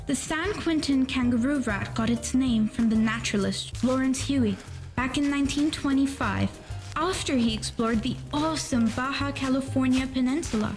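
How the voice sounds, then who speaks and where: A woman voicing a confident, teasing know-it-all young kid in an animated story. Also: high voice, natural voice. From Pakistan. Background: background music.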